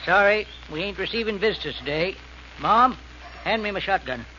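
A stubborn old country farmer in a 1940s radio drama. His voice is bright and forceful, pitched a little high, and his grammar is folksy and rural. The old recording is dull and faintly noisy. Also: expressive, moderate pace. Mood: hostile, defiant. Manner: folksy rural speech with double negatives.

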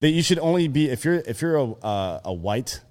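Fast-talking, blunt man on a podcast. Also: medium pitch, very animated, darkish tone. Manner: blunt, swears freely. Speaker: sports podcast co-host. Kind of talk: casual profane banter.

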